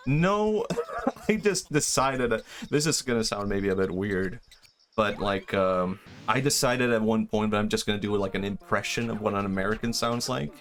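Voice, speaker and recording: A man's voice: on the low side, normal speed, fairly bright tone, slight background noise. A young man from Sweden, a native Swedish speaker, chatting casually and rambling with self-deprecating laughs while streaming a game. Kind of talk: casual live-stream chat while gaming.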